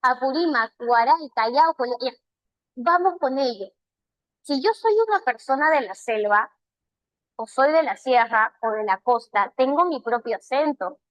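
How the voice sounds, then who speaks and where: Woman, Peruvian Spanish accent, online language teacher, encouraging, rhetorical questions, high voice, dry close sound. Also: lively intonation, a little slow, no echo, lo-fi sound. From Peru. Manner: warm and encouraging, praising students by name; asks many rhetorical check questions. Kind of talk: live online class, answering student chat questions.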